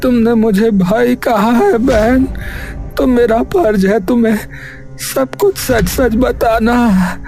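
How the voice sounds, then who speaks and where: A woman's voice: on the high side, slow, dark tone, faint music behind. A woman voicing a remorseful male servant in a cartoon story, earnest and tearful in delivery. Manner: pleading, earnest. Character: wronged, remorseful male servant turned helper.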